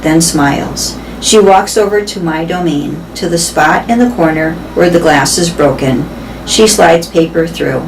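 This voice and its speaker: A woman's voice: lowish voice, recorded from a distance. A woman reading a children's story aloud in a storytelling style. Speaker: reader of a children's novel. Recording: clear background noise.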